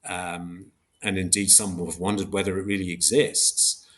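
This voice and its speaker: A man with a fairly low voice speaks as an academic guest in an interview. He sets out distinctions precisely, hesitating and rewording himself as he builds each explanation. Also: flat delivery, normal speed, a bit bright. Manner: hesitant, precise, self-correcting. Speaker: philosopher guest, book author.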